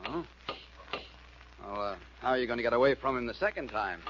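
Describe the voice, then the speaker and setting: An actor playing a mild-mannered, hesitant doctor in a 1940s radio drama. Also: male voice, mid-range voice, animated, fairly quick, natural voice. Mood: uneasy. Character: mild, hesitant doctor, husband and hobby carpenter. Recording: muffled, hissy old radio recording.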